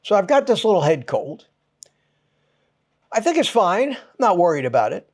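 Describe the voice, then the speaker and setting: A man's voice: sits high, big pitch swings, moderate pace. A man hosting his own channel, ranting to viewers in short, punchy, exasperated phrases and swearing for emphasis. He has a mild head cold. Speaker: channel host.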